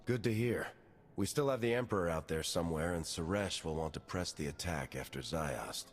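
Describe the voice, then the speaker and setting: A man plays a composed game hero with real authority. His low voice is measured and steady, carrying a long reverb, with faint music behind it. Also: lightly acted. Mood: steady, encouraging. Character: composed, authoritative heroic male commander. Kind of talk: video game dialogue acting.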